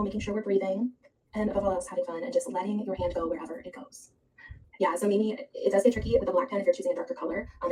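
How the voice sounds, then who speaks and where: A woman hosting a live online art class, chatting softly and answering viewers while she draws. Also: mid-range voice, slight background noise. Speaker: host and art instructor. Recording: roomy, distant live-stream audio.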